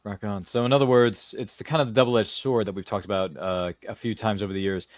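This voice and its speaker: A man's voice: on the low side, fairly even intonation, fast talker, no room sound. A man hosting a casual live interview show, reading viewer comments and throwing in slangy, theatrical, joking asides. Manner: jokey, slangy, theatrical asides to people not present.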